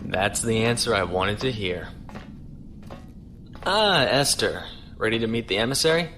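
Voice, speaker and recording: A male actor as an earnest starship captain in a space radio play, his voice on the low side. He is polite and formal, measured in delivery, with faint noise behind him. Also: expressive, sharp tone. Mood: courteous. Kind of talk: audio drama acting.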